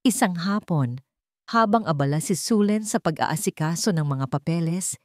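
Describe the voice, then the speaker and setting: A woman's voice: on the low side, animated, normal speed, dry recording, studio quality. A woman storyteller giving dramatic scripted narration of a romantic story.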